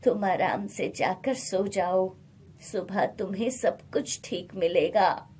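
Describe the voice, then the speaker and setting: A woman voicing a wise, kindly old village man in a moral storybook tale, calm and comforting. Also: mid-range voice, slow pace, a little hiss or hum, voice pushed down, close to an everyday voice. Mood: reassuring.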